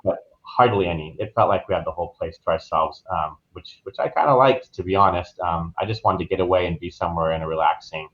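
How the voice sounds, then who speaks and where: A man's voice: sits low, quick pace, few pauses, a bit bright, muffled recording. A man answering interview questions as a travel professional, explaining things in an informal, conversational way. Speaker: guest travel professional reviewing a resort.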